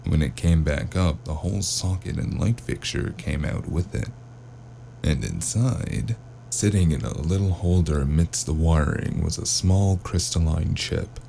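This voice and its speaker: A man playing a wry, weary hard-boiled investigator who narrates and talks in a cyberpunk noir audio drama, with a deep gravelly voice. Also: dark tone, slight background noise, exaggerated voice. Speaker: narrator and lead character.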